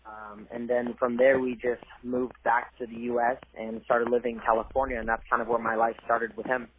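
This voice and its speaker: A man's voice: mid-range voice, flat delivery, brisk, husky. Young man on a tinny phone line. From Cambodia. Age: young adult. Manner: soft-spoken, earnest, hesitant with fillers. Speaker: guest athlete. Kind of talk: phone interview.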